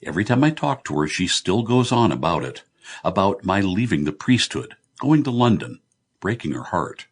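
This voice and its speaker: Man acting out breezy, blunt middle-aged Irish businessman, husky and low. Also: very animated, normal speed, heavily acted. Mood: wry, reminiscing.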